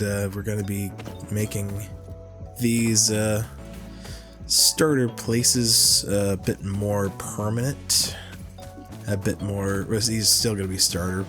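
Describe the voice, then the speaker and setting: A man with a cold-stuffed, nasal voice talks through his gameplay at a slow, unhurried pace, thinking out loud. His voice sits fairly low, and music plays clearly behind him. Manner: rambling, thinking aloud, many fillers. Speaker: gaming channel host. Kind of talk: casual gameplay commentary.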